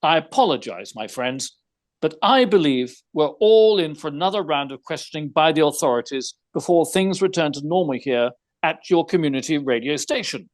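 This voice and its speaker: An actor playing a composed, courteous private detective in an audio theater mystery, speaking in formal, precise, theatrical phrases. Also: male voice, fairly high pitch, lively intonation, moderate pace, natural voice.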